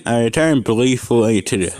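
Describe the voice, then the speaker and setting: A man's voice: medium pitch, animated, normal speed, darkish tone. A young man casually reading a fan story aloud, stumbling over lines and stopping to joke and swear. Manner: reads unevenly, breaks off to joke and swear. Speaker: fanfic reader. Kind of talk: casual fanfic reading with commentary.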